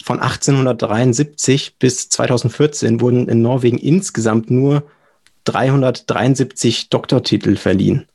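A young German man speaks as an interview guest, explaining things in a casual way. His mid-pitched voice is distinctly husky, with plenty of vocal fry. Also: steady tone, moderate pace, a little mellow. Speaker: podcast guest, lawyer.

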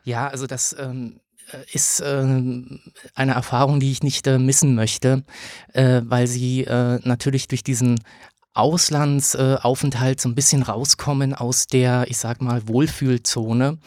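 Man, thirties, lawyer guest, hesitant, filler-heavy, dry sound, mid pitch. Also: flat delivery, darkish tone, no echo. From Germany. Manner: many filler sounds and pauses. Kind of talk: hesitant interview answers.

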